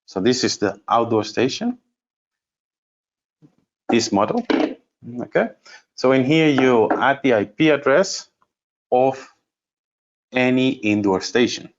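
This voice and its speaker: A man giving an unscripted software demonstration as a trainer, explaining step by step and thinking aloud in a halting way. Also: medium pitch, expressive, highs cut off. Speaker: trainer presenting a product course.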